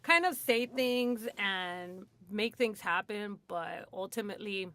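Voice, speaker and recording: A woman's voice: medium pitch, takes it slowly, a bit bright. Chatty woman from Hollywood. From Hollywood, USA. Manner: chatty, lots of "like" and "you know", laughs often. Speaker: guest in recovery. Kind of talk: candid casual storytelling. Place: radio studio.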